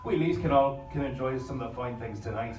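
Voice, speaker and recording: A woman's voice: rumbling low, fast. A woman playing a hospitable, folksy stowaway in a live audio drama. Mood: welcoming. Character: welcoming, warm-hearted stowaway host. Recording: echoey live stage, distant, loud music.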